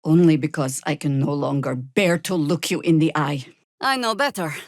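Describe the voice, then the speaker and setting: A woman playing a weathered, stern and wry old druid warrior in a video game, with a measured, dry, commanding delivery. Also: low-pitched, dry recording, close to an everyday voice. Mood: grave, measured. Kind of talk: dramatic video game voice acting.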